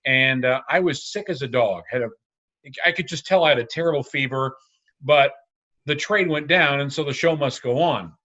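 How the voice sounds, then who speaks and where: Bright-voiced man on a dull video call. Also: medium pitch, moderate pace. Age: 40s. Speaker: sports radio host and arena announcer, guest. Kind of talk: animated anecdotal storytelling.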